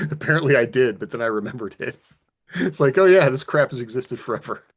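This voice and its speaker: A man's voice: mid-range voice, animated, moderate pace, breathy edge. A man co-hosting a podcast in casual, conversational panel chat. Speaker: podcast co-host, author.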